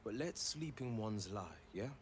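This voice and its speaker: A man's voice: on the low side, animated, unhurried, slight background noise. A man playing an awkward, tactful adventurer in a fantasy video game, speaking in a hesitant, wry way.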